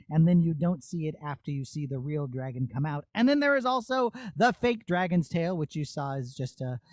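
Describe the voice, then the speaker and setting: A man's voice: on the high side, darkish tone, dry recording. A man presenting as a puppeteer to children, explaining step by step in simple, child-friendly words. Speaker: puppeteer presenting his show. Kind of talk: demonstration and Q&A for children. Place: puppet theatre studio.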